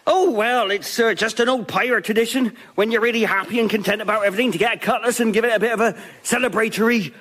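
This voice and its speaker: A sheepish pirate crewman with a high voice. He is hesitant and falsely casual, offering friendly excuses in radio comedy style. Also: quick pace, hardly any pauses, a bit bright. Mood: awkwardly casual. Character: bashful male pirate crewman making awkward friendly excuses. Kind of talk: radio sketch comedy acting.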